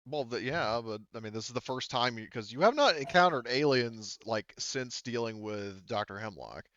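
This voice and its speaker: A man's voice: mid-range voice, animated, moderate pace, a bit bright, muffled recording. A man acting as game master, explaining rules casually and rephrasing as he goes in a hesitant way.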